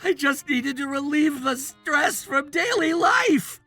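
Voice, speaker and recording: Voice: sits high, animated, slow, pushed and bright, faint music behind, exaggerated voice. A performer voicing a cheating husband in a story, whiny, frantic and full of excuses. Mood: pleading.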